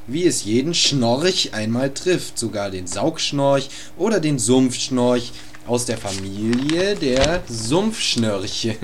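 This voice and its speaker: A man narrating an absurd amateur comic radio play in a deadpan way. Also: medium pitch, slow pace, a little hiss or hum. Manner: deadpan, absurd, crude humor.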